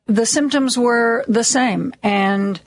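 A woman hosting a radio talk show in a studio, with a slightly raspy, hoarse voice and a chatty, self-deprecating way of talking full of ums and restarts. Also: a little high, slow pace, dry recording. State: a little hoarse, lingering cough. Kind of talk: casual on-air monologue. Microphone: studio microphone.

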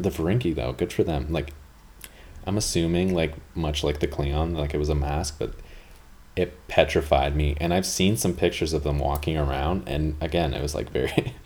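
Very deep-voiced rambling man. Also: a little mellow. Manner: rambling, chatty, full of fillers and asides. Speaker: podcast host. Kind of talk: casual rambling monologue.

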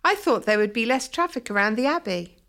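A woman acting in a radio play as an expressive, candid townswoman. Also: fairly high pitch, fairly quick. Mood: rueful. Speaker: community theatre actor.

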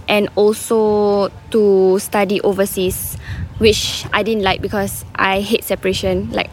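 A young woman from Singapore telling a personal story to a live audience, candid and halting, with many fillers and restarts. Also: medium pitch, slight background noise. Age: young adult. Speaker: student storyteller. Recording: faint room ambience behind a live talk.

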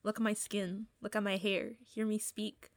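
An actress playing a defiant, impulsive young documentary filmmaker in a radio play, with a direct, insistent manner. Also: female voice, mid-range voice, flat delivery, normal speed, close to an everyday voice.

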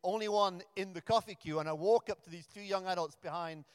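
A man's voice: sits high, a little fast, clean tone, no echo, studio quality, long reverb tail. A man in his thirties from Africa, a pioneer minister giving a sermon, chatty and self-deprecating with frequent restarts. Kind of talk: sermon with storytelling. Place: church.

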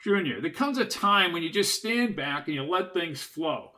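Man playing a soft-spoken, calm crime associate. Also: fairly high pitch, normal speed, distant mic. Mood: placating. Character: calm, resigned male criminal associate.

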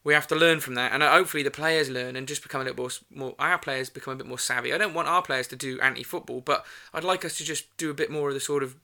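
Fast, bright-voiced man chatting football. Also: medium pitch. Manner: rapid, rambling, self-correcting. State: slightly croaky voice. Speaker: football podcast co-host. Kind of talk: casual football chat.

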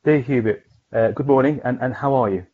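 A man's voice: medium pitch, a little fast, narrow, tinny audio. A man hosting a professional interview podcast, polite and hesitant, often repeating words as he frames questions. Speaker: podcast host and chief scientist.

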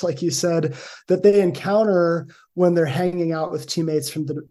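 Middle-aged male academic guest, mellow tone, hedging and thoughtful. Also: on the high side. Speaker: guest, linguistics professor. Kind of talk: conversational interview answers.

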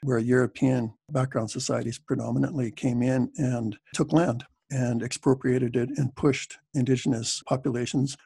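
A Canadian man, a scholar, giving thoughtful, measured answers in an interview. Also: medium pitch, gravelly, warm tone, slightly rough, dry recording. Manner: thoughtful, measured, frequent "frankly". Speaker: guest scholar.

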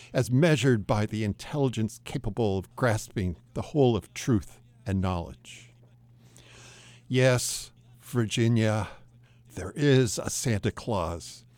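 A man's voice: mid-range voice, a little mellow, no echo. Theatrical man reciting, close dry sound. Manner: theatrical, emphatic, earnest. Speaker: guest reader on a radio show. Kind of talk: dramatic recitation of a sentimental old letter.

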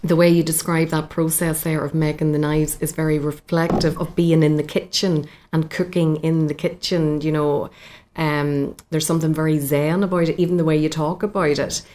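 A woman with a low, mellow voice asks questions in a conversational interview. She sounds warm and affirming, reflecting back what her guest has said. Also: flat delivery, normal speed, a little hiss or hum.

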